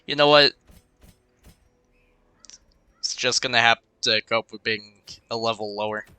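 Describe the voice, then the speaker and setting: A man's voice: mid-range voice, unhurried, sharp tone, clean tone, no echo. A young man casually talking through his game, with a slightly congested voice and a habit of rambling and muttering as he weighs his choices. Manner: rambling, muttering to himself while thinking. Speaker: gaming commentator. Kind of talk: casual let's-play commentary. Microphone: cheap desk microphone.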